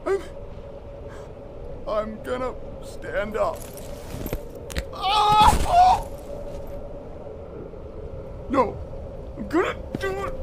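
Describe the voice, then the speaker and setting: A man playing a badly injured young man freezing to death in an audio drama, devoted and desperate, weak, halting and tearful. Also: very high voice, wildly swooping pitch, exaggerated voice. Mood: desperate, pleading. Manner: halting, broken phrases, tearful. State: weak, gasping, crying. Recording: noisy, loud wind-like ambience behind.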